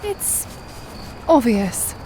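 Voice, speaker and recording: A woman's voice: a little high, drawn-out words, exaggerated voice. Sardonic, guarded young woman, noisy ambience. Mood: dry teasing. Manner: dry, teasing, deadpan. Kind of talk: naturalistic audio drama acting. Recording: noticeable background noise and ambience.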